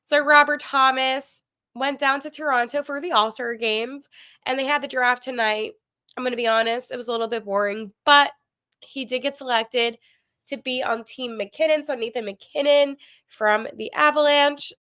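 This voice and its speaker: A woman's voice: high-pitched, steady tone, moderate pace, pushed and bright. A woman hosting a sports podcast, chatting with opinions in a congested, nasal voice from a cold, with frequent ums and likes. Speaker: podcast host.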